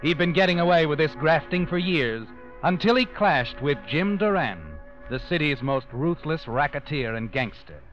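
An ominous, mysterious storyteller of the night in a vintage radio mystery. His American voice sits fairly high and moves with dramatic deliberation. The recording is muffled and old, with clear music behind him. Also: expressive, moderate pace, a bit bright. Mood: wry, foreboding. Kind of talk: 1940s radio mystery narration.

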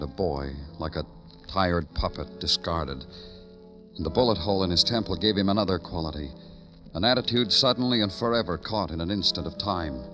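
A man acts out a brooding big-city detective of 1950s radio noir. His low voice moves deliberately through somber, lyrical narration, with music playing behind it. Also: lightly acted. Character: weary, brooding male police detective narrating his beat.

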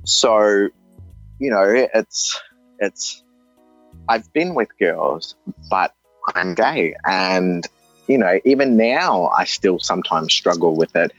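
A man's voice: sits low, animated, fairly bright tone, no echo. A man in his thirties with an Australian accent, giving an earnest personal testimony, often restarting his sentences. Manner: halting, earnest, with frequent restarts. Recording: dull, dry recording with faint music.

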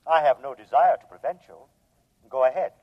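An alien robot, played by a man, measured and formal, calmly menacing in every line. The voice sits at an ordinary male pitch on a thin, phone-like vintage radio drama recording. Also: lightly acted. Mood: cold, assured. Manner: formal, measured, matter-of-fact. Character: cold, logical, menacing alien robot from another planet. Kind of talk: 1950s radio drama acting.

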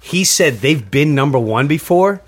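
A man hosting a podcast in casual chat, telling stories in an animated way and acting out what others said. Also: fairly high pitch, normal speed, dry recording. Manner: animated storyteller, acts out quoted remarks. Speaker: podcast host and comedian.

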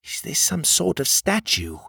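A curious young adventurer, calm and questioning, voiced a bit higher than the reader's own speech with a lively lilt, as a male narrator plays him. The tone stays gravelly and husky. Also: measured pace, no echo, exaggerated voice.

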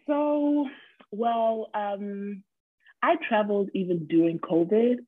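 A woman's voice: medium pitch, slow, breathy edge, dry recording. A woman in her twenties from Germany, a podcast guest chatting casually and openly, with frequent ums and easy laughs. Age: 20s. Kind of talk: casual interview chat. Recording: tinny phone-like line.

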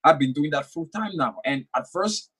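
A man's voice: a little high, expressive, normal speed, bright, forceful tone, breathy edge. A young man whose first language is French, speaking earnestly as an author in an interview and often repeating words while he thinks. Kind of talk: conversational interview.

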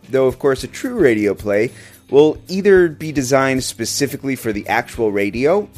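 A man hosting a podcast, reading a scripted introduction. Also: medium pitch. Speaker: podcast host and producer.

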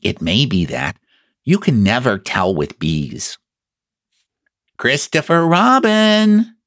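A man's voice: deep voice, sing-song, measured pace, slightly rough, no room sound, studio quality, exaggerated voice. A man voicing a small, earnest toy bear from a children's storybook, ponderous and solemn as he schemes. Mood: hushed, suspicious.

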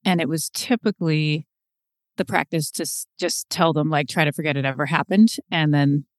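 A woman's voice: low voice, fairly even intonation, normal speed, dry recording, studio quality. A middle-aged woman from Seattle, a podcast guest, reflecting on her life in a chatty, storytelling way. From Seattle, USA. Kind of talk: conversational interview.

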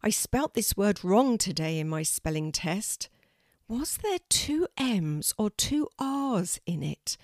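A woman's voice: mid-range voice, very animated, slow, a little mellow, dry recording, clean studio sound, exaggerated voice. Woman acting an earnest, eager young girl. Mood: thinking aloud. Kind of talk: children's storybook character voice.

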